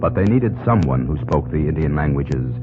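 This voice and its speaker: An actor as the solemn narrator of an old-time radio history drama, emphatic and portentous. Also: male voice, rumbling low, expressive, loud music behind the voice. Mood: solemn, dramatic. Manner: emphatic, portentous, deliberate phrasing. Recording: tinny old radio recording.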